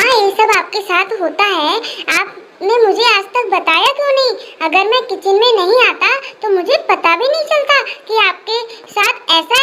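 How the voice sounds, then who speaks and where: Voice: very high voice, background noise. A performer voicing an indignant, dutiful grown son who scolds in defence of his mother in a cartoon family melodrama. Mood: concerned, indignant. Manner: scolding, accusatory, protective of mother.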